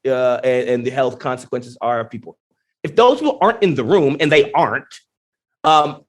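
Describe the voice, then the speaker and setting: A man, a genetics professor, speaking in a podcast interview with emphatic, animated explanations full of rhetorical questions. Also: medium pitch. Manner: energetic, emphatic, many restarts and rhetorical questions.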